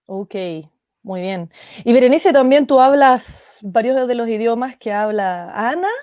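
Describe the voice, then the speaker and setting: A woman's voice: a little high, measured pace, darkish tone, no room sound. Dry-voiced upbeat woman host. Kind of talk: interview on a video call. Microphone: video call.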